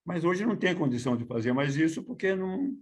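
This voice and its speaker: A man's voice: a little high, expressive, fast. A man in his seventies with a Brazilian accent, a veteran of theatre reminiscing casually and often repeating his words. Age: 70s. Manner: repeats words, informal storytelling. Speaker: veteran theatre producer, main speaker. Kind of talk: casual reminiscing in a group online talk.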